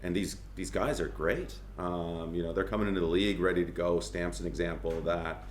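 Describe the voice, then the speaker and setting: A man's voice: sits low, fairly quick, roomy sound, slight background noise. A man who plays hockey, chatting as a podcast guest in an easygoing, self-deprecating way. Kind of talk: casual chat.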